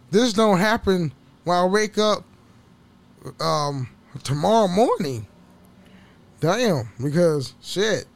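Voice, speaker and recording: A man with a somewhat high-pitched voice chats alone into a home mic, loose and rambling. He curses freely and laughs often, and his voice carries a slight hoarseness. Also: no echo. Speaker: podcast host. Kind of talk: casual solo podcast chat.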